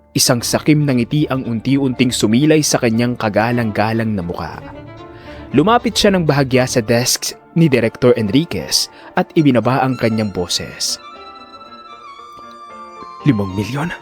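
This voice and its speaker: Male drama narrator over soft music. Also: mid-range voice. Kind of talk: dramatic scripted story narration.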